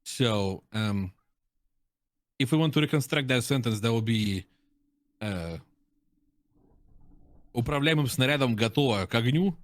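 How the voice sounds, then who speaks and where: A man talks casually as a guest creator giving his review. He explains in halting runs, pausing to hunt for words. His mid-pitched voice is close and dry. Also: lively intonation, dry recording. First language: Russian. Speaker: guest content creator and streamer. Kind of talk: casual chat, reviewing game voice lines.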